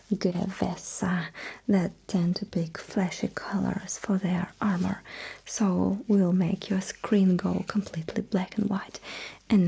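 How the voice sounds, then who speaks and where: A woman whispering breathily in an ASMR roleplay as a sci-fi technician, giving soft, reassuring instructions close to the microphone. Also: medium pitch, gravelly, husky, poor audio.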